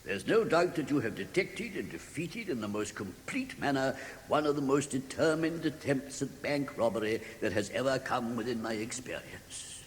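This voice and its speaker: An actor in a British radio drama playing a formal, effusive bank official with an English accent. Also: male voice, mid-range voice, animated, moderate pace, close to an everyday voice. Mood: grateful, relieved. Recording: reverberant old radio recording, faint noise.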